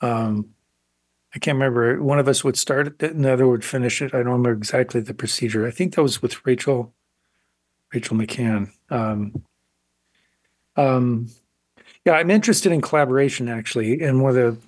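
An older man, a guest artist on a radio interview, answering thoughtfully with frequent restarts and pauses. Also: medium pitch, steady tone, no room sound.

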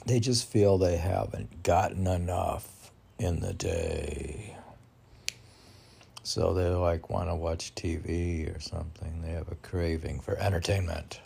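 A man's voice: deep voice, slow, creaky, warm tone, slightly rough. A man informally reading poems and commenting on them in a rambling, conversational way, posing questions and answering them himself.

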